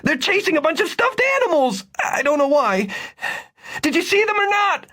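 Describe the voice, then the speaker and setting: A man voicing a frantic, disheveled man who stammers and pleads urgently in a shrill voice, in an audiobook. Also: animated, normal speed, vocal fry, pushed and bright, slightly rough, full character voice. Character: frantic disheveled man chasing living toys.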